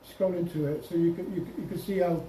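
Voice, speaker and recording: A man giving an informal software demonstration to a group, explaining step by step with some hesitation and self-correction. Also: fairly high pitch, quick pace. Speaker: presenter at an amateur radio club. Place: indoor club meeting room. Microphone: distant room microphone. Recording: echoey room, distant mic, faint noise.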